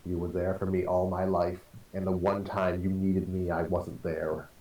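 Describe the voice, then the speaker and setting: A man's voice: deep voice, little pitch movement, normal speed, warm tone, natural voice. A man playing an earnest, stubborn warrior brother burdened by guilt in a fan drama, speaking formally and with regret. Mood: guilty, regretful. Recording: echoey room, distant mic.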